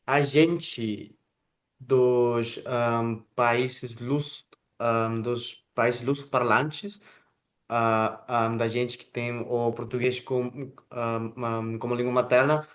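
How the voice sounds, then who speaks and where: A man, Spanish his first language, hosts an interview in Portuguese at a middling pitch. He gropes for words, filling gaps with drawn-out filler sounds and restarting phrases as he goes. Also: fairly even intonation. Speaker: interview host.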